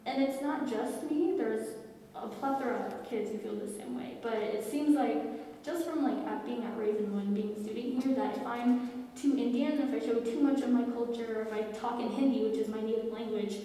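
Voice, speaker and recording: A teenage girl from Tennessee speaking earnestly on a student panel, halting now and then with fillers. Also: fairly high pitch, warm tone, a little hiss or hum. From Tennessee, USA. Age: teens. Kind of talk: personal testimony on a panel. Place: large school hall. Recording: echoey hall, far from the mic.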